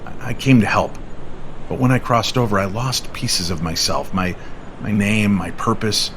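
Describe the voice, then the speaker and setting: A man voicing a gentle, lost angel in an old-time radio style drama, halting and earnest as he searches for words. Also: on the low side, steady tone, a little hiss or hum, lightly acted. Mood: lost, earnest, apologetic.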